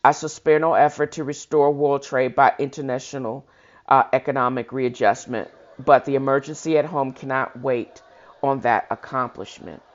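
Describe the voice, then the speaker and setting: Dull lo-fi recording of a halting reader. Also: medium pitch, steady tone. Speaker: host of a reading show. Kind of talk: reading a historical speech aloud with informal commentary. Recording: dull lo-fi sound.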